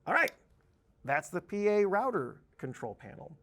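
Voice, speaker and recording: A man giving an informal, conversational software tutorial, explaining things step by step as an instructor. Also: high-pitched, very animated, normal speed. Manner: explanatory, informal, uses spoken examples. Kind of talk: conversational screen-share tutorial.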